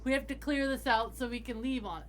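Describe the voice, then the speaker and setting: A woman's voice: sits high, a little fast, slight background noise. A woman playing a tabletop roleplaying game, talking casually at the table. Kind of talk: casual chat during game play.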